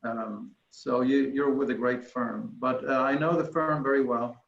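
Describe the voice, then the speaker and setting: A man from Sweden casually introducing himself on a group video call, with a halting delivery, in an echoey room. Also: mid-range voice, fairly even intonation, vocal fry, highs cut off, a little hiss or hum. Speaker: executive search consultant, meeting participant.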